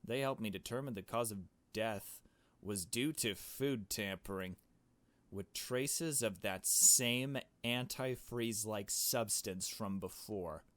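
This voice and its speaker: A man voicing a blunt, cool young woman investigator from a visual novel, with a dry, deliberate, confrontational manner. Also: on the low side, fairly bright tone, no echo. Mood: cool, sardonic.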